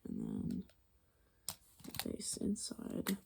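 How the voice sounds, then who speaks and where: A young adult woman hosting ASMR, speaking softly and breathily, often whispering, musing aloud in an informal, murmured way. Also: rumbling low, huge pitch swings, extremely slow, vocal fry, a little hiss or hum. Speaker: ASMR video host. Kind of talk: ASMR, soft-spoken personality explainer while drawing.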